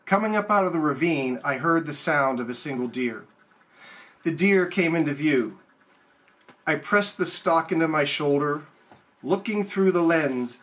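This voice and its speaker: Higher-pitched man reading poetry. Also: a bit bright. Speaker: poet. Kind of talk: casual chat and poem reading.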